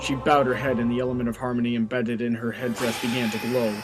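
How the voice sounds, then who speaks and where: Male narrator, medium-pitched voice, scripted storytelling delivery, faint background music. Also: little pitch movement, quick pace, poor audio.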